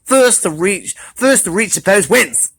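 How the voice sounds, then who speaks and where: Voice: mid-range voice, lively intonation, measured pace, a bit bright, husky, dry recording, exaggerated voice. A reader as a firm, grumpy storybook badger. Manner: firm, scolding.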